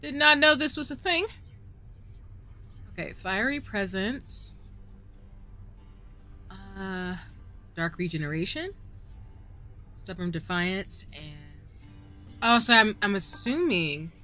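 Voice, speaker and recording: Lively, bright-voiced Southern woman streamer. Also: medium pitch, slow pace, pauses often. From southern United States. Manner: giggly and chatty, rambling with many fillers. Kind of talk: casual live-stream chat while gaming. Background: faint background music.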